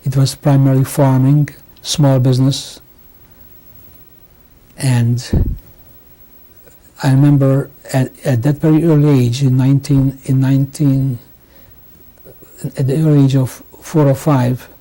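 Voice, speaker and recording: A man in his sixties from Hungary, giving oral history testimony in a halting way, with many restarts and pauses. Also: medium pitch, flat delivery, mellow, rough recording. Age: 60s. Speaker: interviewee. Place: home interview.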